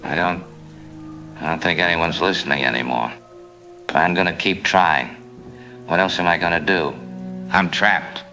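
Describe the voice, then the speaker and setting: An actor playing a trapped, despairing male survivor, anxious and halting as he calls out on the radio in a drama. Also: sits low, pushed and bright, faint music behind. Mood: despairing, fearful. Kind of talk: apocalyptic radio drama monologue.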